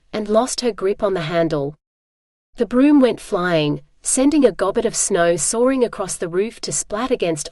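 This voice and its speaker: Woman audiobook narrator, mid-pitched, steady read, close and dry. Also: no echo. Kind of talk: scripted narration.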